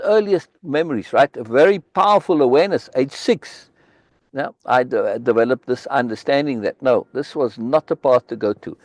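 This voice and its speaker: A man hosts a live reading discussion over a video call, pressing his points with insistent repetition. His voice sits fairly high and rises and falls widely. The sound is dry and close, with no room echo. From South Africa. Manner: emphatic, insistent, repeats key words. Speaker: host leading a book reading discussion.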